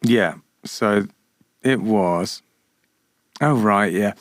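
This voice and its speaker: A man's voice: on the low side, extremely slow, dry recording, studio quality. A man hosting a podcast, chatting in a laid-back, rambling way and swearing casually. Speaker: podcast host. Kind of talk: casual chat. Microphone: studio mic.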